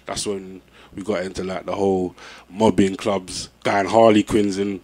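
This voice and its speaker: A young man with a London accent, chatting casually as an MC being interviewed, with a relaxed manner. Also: deep voice, moderate pace. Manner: laid-back, peppered with "you know what I'm saying".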